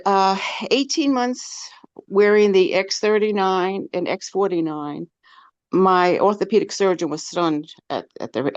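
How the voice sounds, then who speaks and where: A woman's voice: on the low side, dry recording, highs cut off. An older woman phoning in to a webinar, telling her story in a rambling way with many restarts. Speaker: caller sharing a testimonial. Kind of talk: casual testimony over a call.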